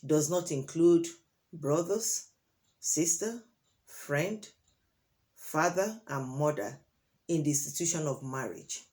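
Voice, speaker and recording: A Nigerian woman whose first language is Yoruba, sharing her opinions in a monologue to camera. She talks deliberately, with many fillers and pauses, and turns emphatic on key points. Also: on the low side. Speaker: vlogger.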